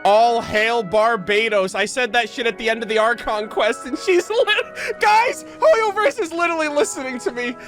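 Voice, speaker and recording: A woman's voice: fairly high pitch, expressive, moderate pace, hardly any pauses, faint music behind. A woman streamer giving slangy, gushing, exclamatory reaction commentary on a video game.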